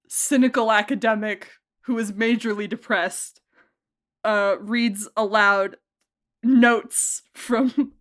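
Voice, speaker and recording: A woman speaking casually as a producer in an interview, effusive and emphatic, with a joking way of talking. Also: medium pitch. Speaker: audio drama producer and director being interviewed. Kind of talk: casual behind-the-scenes interview.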